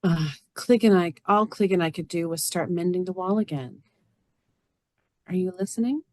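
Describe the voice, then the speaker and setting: A woman's voice: lowish voice, moderate pace, a little mellow, no room sound, close to an everyday voice. A woman reading the part of an earnest, weary, otherworldly mother and farm neighbor in a stage play. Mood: pleading. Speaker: actor in a staged reader's theater.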